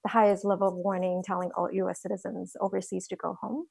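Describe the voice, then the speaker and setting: A woman from Taiwan answering interview questions hesitantly, with many pauses and self-corrections. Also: medium pitch, little pitch movement, gravelly, darkish tone, husky, no room sound. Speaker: guest, financial planner.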